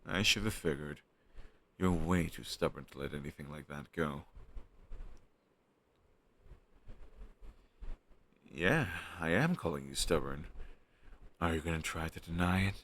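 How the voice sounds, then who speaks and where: A man performs a flustered young guy with a very low, gravelly voice in an intimate audio roleplay. His intonation swings widely as he talks around a crush on his best friend. He is halting and sheepish, like someone in a private conversation. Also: expressive, fairly bright tone, exaggerated voice. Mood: teasing, reluctant.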